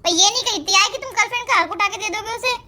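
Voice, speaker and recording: Very high, rapid-fire hurt young girlfriend played by a woman. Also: bright, forceful tone, exaggerated voice. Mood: indignant. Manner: insistent, repeats questions. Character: hurt, indignant young girlfriend, argumentative and pleading. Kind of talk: dramatized phone-call argument.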